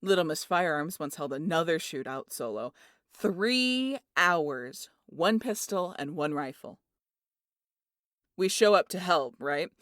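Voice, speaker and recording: Female actor as brash gossipy pal for audio drama: medium-pitched, salty, teasing storyteller, dry close recording. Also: dry recording. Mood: gleeful, boasting. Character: Sandy, brash, teasing, gossipy woman family friend. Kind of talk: audio roleplay acting, animated storytelling.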